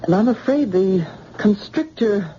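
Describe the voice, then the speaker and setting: A quick-witted doctor under threat, answering in tense, measured tones. Her voice is mellow and dark but moves widely in pitch. The old radio recording is dull and faintly hissy. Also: animated, breathy edge. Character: woman doctor held at gunpoint, quick-witted. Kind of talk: 1940s radio acting.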